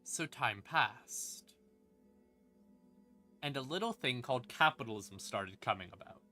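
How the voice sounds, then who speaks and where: Male lore streamer, mid-pitched and sharp-edged, casual and sardonic, faint ambient music underneath. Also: animated, a little slow. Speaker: streamer explaining game lore. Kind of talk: casual, rambling lore explanation. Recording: music playing behind the voice. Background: creepy ambient music.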